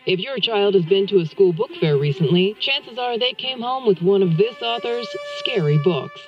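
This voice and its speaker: A woman's voice: medium pitch, expressive, moderate pace, close to an everyday voice. A woman playing a television reporter delivering a news report. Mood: informative. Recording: dull broadcast clip, faint music.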